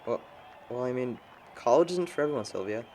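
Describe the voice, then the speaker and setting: An actor plays a bookish young man in a small film drama. His delivery is low-key and a little hesitant, at an average pitch, over faint noise. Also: expressive, fairly quick, a little mellow, a faint crowd, lightly acted. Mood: mild, curious. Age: young adult. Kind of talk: naturalistic amateur film dialogue.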